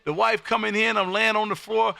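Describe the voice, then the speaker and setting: A man hosting an amateur radio livestream, chatting casually with a loud, folksy showman's manner. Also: high-pitched, flat delivery, a little fast, vocal fry, clear, resonant tone, no room sound. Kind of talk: casual radio contact chat. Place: home radio room.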